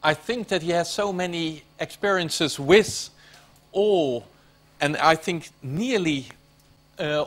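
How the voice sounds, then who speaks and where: A man introduces the next speaker at a conference in a fairly high voice. He is careful and halting, searching for his words as he goes. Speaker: conference moderator.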